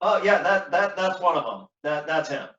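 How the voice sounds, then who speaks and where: A man playing a wounded, panicked soldier in a stage tragedy, pleading and stammering in halting bursts. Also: sits high, expressive. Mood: pained stammering. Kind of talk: hammy Shakespeare play acting. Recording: muffled, roomy echo.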